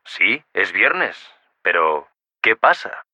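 A man's voice: low-pitched, big pitch swings, slow, bright, forceful tone, dry recording, full character voice. An actor playing a curious, friendly young man in language-course dialogues, chatty and full of questions. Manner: chatty, inquisitive. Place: dry studio.

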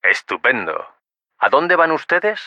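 A man's voice: on the high side, big pitch swings, measured pace, sharp tone, no room sound, dull audio, full character voice. A curious, chatty young man. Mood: friendly. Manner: chatty, inquisitive. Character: curious, friendly young man, also a vendor and a neighbor. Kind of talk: language-course dialogue acting. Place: dry studio.

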